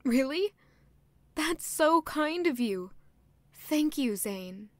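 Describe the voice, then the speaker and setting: A woman's voice: high voice, lively intonation, very slow, dry recording, exaggerated voice. An actress playing a polite, anxious young woman in royal service in an audio drama, earnest and a little hesitant. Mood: surprised, grateful. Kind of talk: scripted audio drama acting.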